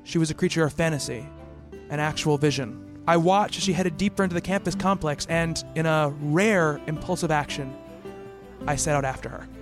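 A man acting in a radio play as an earnest psychiatrist who can be awkward and halting. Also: a little high, faint music behind, close to an everyday voice. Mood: grave, haunted. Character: earnest male psychiatrist, haunted narrator and shy awkward suitor.

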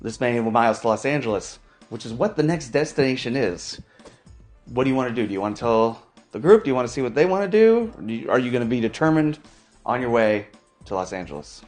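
A man's voice: medium pitch, big pitch swings, quick pace, darkish tone, soft background music. A man narrating as game master with playful, theatrical introductions.

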